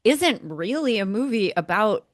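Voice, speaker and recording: A woman's voice: medium pitch, big pitch swings, unhurried, dry recording, clean studio sound. A woman co-hosting a podcast, giving her opinions in a reflective, casual, conversational way. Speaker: podcast co-host. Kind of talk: casual movie review discussion.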